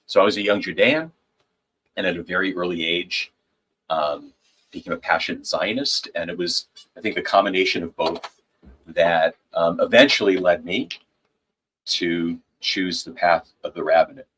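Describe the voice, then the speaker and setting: A middle-aged rabbi from upstate New York recounts his past in a measured, wry way. His voice is very deep and steady. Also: slightly rough, poor audio. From upstate New York, USA. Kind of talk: personal storytelling.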